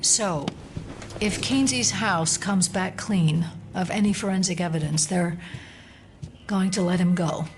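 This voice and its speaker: An actress playing a composed, determined federal agent who sounds serious and uneasy, in an audio drama. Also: female voice, lowish voice, unhurried, music in the background.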